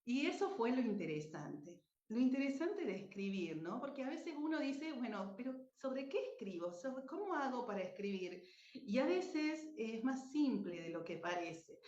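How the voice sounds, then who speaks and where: A woman's voice: fairly high pitch, very animated, normal speed, a little mellow. An Argentine woman giving an informal, effusive, anecdotal talk as a presenter. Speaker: radio drama writer and speaker at a book fair. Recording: dull, echoey lo-fi room sound.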